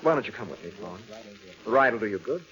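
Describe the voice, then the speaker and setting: An actor playing an earnest husband, soothing but impatient, in a vintage radio suspense drama. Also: male voice, lowish voice, lively intonation, fast, close to an everyday voice. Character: reassuring, exasperated husband, a lung specialist. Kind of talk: 1940s radio suspense acting. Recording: dull old radio recording.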